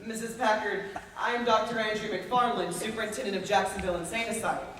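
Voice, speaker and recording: A man acting a condescending asylum superintendent, formal, measured and placating, in a stage play. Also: high-pitched, fairly even intonation, fairly bright tone. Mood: authoritative, placating. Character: smooth condescending male asylum superintendent doctor. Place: auditorium stage, recorded from far off. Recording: echoey hall from far off, faint hiss.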